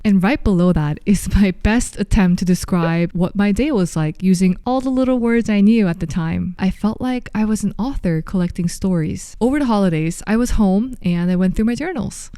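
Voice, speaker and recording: A woman in her thirties talks to the camera in a friendly, explaining way. Her voice is mellow and smooth, and the sound is close and dry. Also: medium pitch, no echo. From South Korea. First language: Korean. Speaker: vlogger. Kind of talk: scripted talk to camera.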